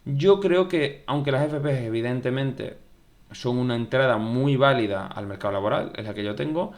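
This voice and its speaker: A man's voice: medium pitch, normal speed. A young man gives casual, conversational advice about programming studies, often repeating phrases and acting out viewer questions. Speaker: YouTube tech educator. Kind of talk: casual advice talk to camera.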